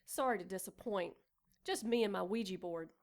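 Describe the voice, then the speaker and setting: A woman's voice: mid-range voice, moderate pace, natural voice. An actress playing a self-absorbed expectant mother in a noir audio drama, coy, defensive and sly. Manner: coy, mock-proper, defensive.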